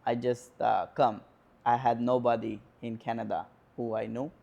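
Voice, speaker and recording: Casting: twenties guy, Indian accent, gravelly, mellow, halting interview answers. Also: medium pitch, flat delivery, lo-fi sound. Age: 20s. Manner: hesitant, many pauses and fillers. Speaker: interview guest. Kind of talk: casual sit-down interview.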